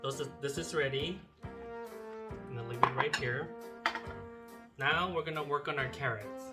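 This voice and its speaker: A man's voice: mid-range voice, measured pace. A Korean-born man whose first language is Korean, hosting a casual cooking tutorial in his kitchen and chatting through memories with lots of fillers. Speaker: home cook and video host. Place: home kitchen while cooking. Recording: roomy kitchen with loud background music.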